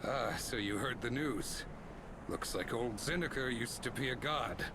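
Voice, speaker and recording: An actor playing a gruff, folksy man with a soft heart in a video game cutscene, talking in a colloquial way. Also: medium pitch, unhurried, noisy background, lightly acted. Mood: recounting. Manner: colloquial, folksy drawl phrasing. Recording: loud music behind the voice. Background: loud game music.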